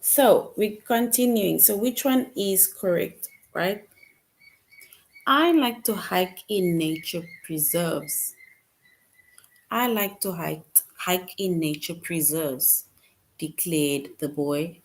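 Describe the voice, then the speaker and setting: Low-voiced female teacher, slow and patient, walks children through answer choices. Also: rough recording. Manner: slow, patient, reads answer options aloud. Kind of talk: online grammar lesson for children.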